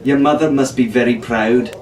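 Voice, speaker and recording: A man's voice: medium pitch, fairly even intonation, normal speed, lightly acted. Man voicing a feisty young girl, echoey. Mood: scornful. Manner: blunt, muttering, soft-hearted underneath. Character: feisty, sharp-tongued teenage girl adventurer. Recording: echoey room, distant mic, faint hiss.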